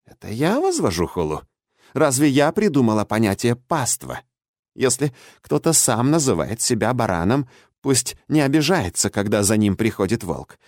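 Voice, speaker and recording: A man voicing a defiant old imprisoned witch in an audiobook, hoarse and croaking, with a caustic, mocking, argumentative way of speaking. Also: medium pitch, big pitch swings, normal speed, darkish tone, no echo, studio quality, lightly acted. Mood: scornful, mocking. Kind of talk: audiobook character acting.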